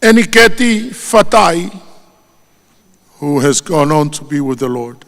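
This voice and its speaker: A man with a Tongan accent, whose first language is Tongan, delivering a formal funeral eulogy in a solemn, measured way and lifting his voice on each name. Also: on the high side, big pitch swings, vocal fry, a bit bright. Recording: long hall reverb.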